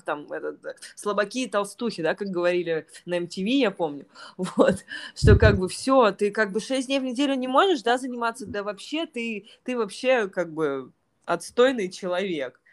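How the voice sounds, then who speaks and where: A woman teaching yoga and trained as a clinical psychologist, speaking thoughtfully in an interview, with many fillers and restarts. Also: medium pitch. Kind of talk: reflective interview conversation.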